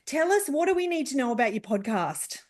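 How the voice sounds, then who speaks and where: A woman's voice: high voice, sing-song, a little fast. A woman interviewer in casual talk, asking questions in an informal, encouraging manner.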